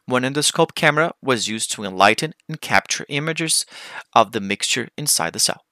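A man's voice: medium pitch, animated, normal speed, pushed and bright, no echo, studio quality. A man with a Brazilian accent, a native Portuguese speaker, giving a formal, methodical scripted technical presentation as a researcher.

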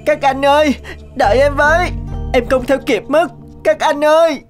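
A woman's voice: high voice, moderate pace, soft background music, close to an everyday voice. A woman voicing an eager, plucky, polite boy in a cartoon fairy tale. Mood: pleading. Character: eager, plucky, polite young youngest brother.

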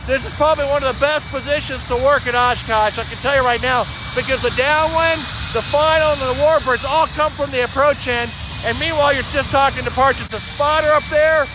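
A man's voice: very high voice, steady tone, fairly quick. A middle-aged American man hosting an informal explanatory tour outdoors, talking emphatically into a phone held at arm's length. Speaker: air traffic controller giving a tour as host. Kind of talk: informal explanatory vlog talk. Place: outdoors at an airfield and open grounds. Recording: noisy outdoor airfield with vehicle sounds.

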